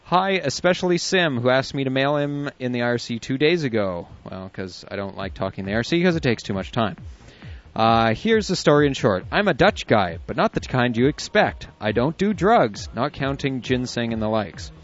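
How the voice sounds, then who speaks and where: A man's voice: medium pitch, very animated, normal speed. A man co-hosting an internet radio show, reading listener emails aloud with chuckles and asides in casual chat. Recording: dull lo-fi audio with faint music.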